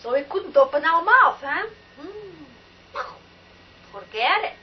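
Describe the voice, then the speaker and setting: A woman's voice: high-pitched, big pitch swings, muffled recording. An older woman from Portugal with a Portuguese accent, hosting a spiritual talk in an emphatic, exclamatory way. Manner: emphatic and exclamatory, drawn-out words. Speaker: spiritual talk show host.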